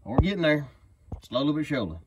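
A man's voice: fairly high pitch, animated, fast talker, noisy background. A man, chatting casually outdoors in a rural yard while he works to free an old bus, drawling and whooping. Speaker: vlogger pulling out an old bus. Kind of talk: casual work vlog.

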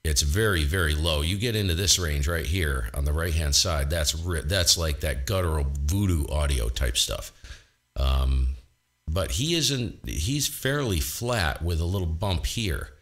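A man with a boomy borderline baritone voice, casually walking through his ham radio setup at home and explaining what he hears. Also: unhurried. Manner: relaxed, explanatory, thinking aloud. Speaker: ham radio operator and host. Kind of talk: casual technical walkthrough.

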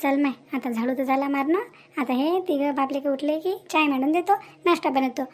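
Woman acting a fretful, high-pitched Marathi village mother. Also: fairly quick, darkish tone, natural voice. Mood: weary grumbling. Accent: Vidarbha Marathi. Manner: grumbling, folksy, fretful. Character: overworked, fretful village mother of a grown daughter. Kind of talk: amateur cartoon dialogue.

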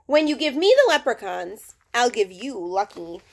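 A measured, firm policeman, voiced by a woman reading a storybook, lifted higher than a real officer. He speaks with steady authority and some kindness. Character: stern but kindly male police officer.

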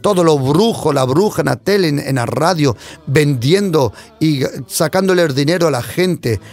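Impassioned male preacher, lively pitch. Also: moderate pace. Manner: impassioned, swings between loud declarations and hushed asides. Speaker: pastor. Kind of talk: preaching sermon.

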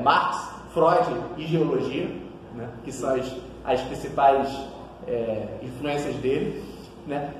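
A man in his thirties with a Brazilian Portuguese accent lectures with animated energy, his fairly high voice bouncing around a hard seminar room. He is picked up by a camera across the table, so the sound is distant, echoey and carries a long reverb tail. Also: a little hiss or hum. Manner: animated, gesturing widely, frequent tag questions. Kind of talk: academic lecture. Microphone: far camera across the table.

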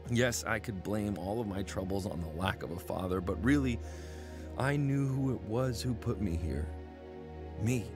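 An actor playing a troubled young male airman, narrating his story gravely in first person in a radio drama. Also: on the low side, moderate pace, music in the background, close to an everyday voice. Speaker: narrator and main character.

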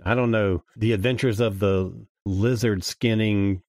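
A man with a fairly low voice talks casually as a guest on a podcast. His phrasing is informal and colloquial. The sound is dry, with very little room in it. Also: steady tone, a little slow, a little mellow, no echo. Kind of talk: casual chat, discussing an audio drama.

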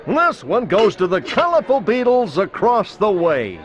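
A man playing a flamboyant, excitable bug restaurant host with theatrical delivery in a children's computer game. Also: high-pitched, unhurried, a faint crowd, full character voice. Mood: cheerful, encouraging.

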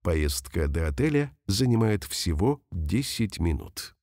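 A man reading example sentences slowly and carefully for language learners, saying each one twice. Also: low voice, very animated, darkish tone, no echo, studio quality. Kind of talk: slow repeated phrase reading for learners.

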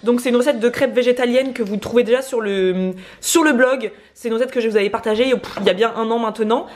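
A woman's voice: a little high, quick pace. Chatty young woman in a home kitchen. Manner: chatty, lively, explains while cooking. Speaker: vlogger co-host. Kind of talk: casual chat to camera.

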